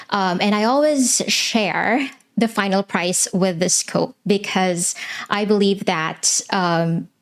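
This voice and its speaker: A young adult woman from the Philippines, English not her first language, answering interview questions in an earnest, measured way with frequent pauses. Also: mid-range voice. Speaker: web designer guest.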